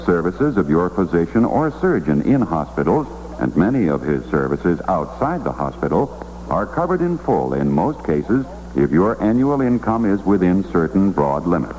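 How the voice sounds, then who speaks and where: A man's voice: low-pitched, big pitch swings, moderate pace, a little mellow, a little hiss or hum, low-quality recording. A man announcing a scripted 1960s radio commercial, on an old broadcast recording. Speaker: advertisement announcer.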